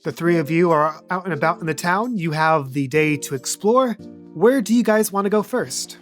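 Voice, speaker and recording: A man's voice: fairly high pitch, normal speed, soft background music. A man hosting a tabletop roleplay podcast as game master, reading plugs and narrating in a laid-back, joking way.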